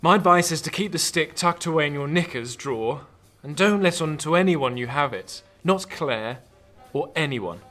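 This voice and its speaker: A man's voice: a little high, lively intonation, normal speed, sharp tone. A man acting in a radio drama as an anxious, protective intelligence officer, urgent and cautioning. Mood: worried, pleading. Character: anxious, earnest male intelligence officer, protective of his lover. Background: music.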